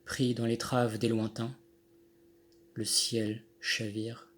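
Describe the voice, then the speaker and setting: Male poetry reader, medium pitch, unhurried and measured, setting each phrase apart with deliberate pauses. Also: level tone. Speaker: poet reading own poem. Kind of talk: poetry recitation. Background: Handel music accompanies the reading.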